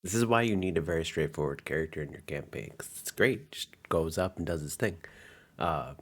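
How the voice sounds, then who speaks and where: A man's voice: rumbling low, a little fast, a bit bright. A man speaking informally and chattily as a game master, narrating and commenting on a tabletop campaign.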